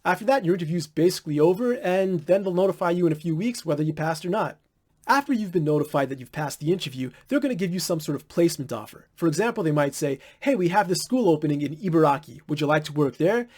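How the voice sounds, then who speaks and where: A young American man talks straight to the camera at a brisk clip, frank and unvarnished, with now and then a curse word. His voice sits a little high for a man. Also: lively intonation, a little mellow. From United States. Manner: blunt, candid, occasional swearing. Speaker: vlogger. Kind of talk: informal advice monologue.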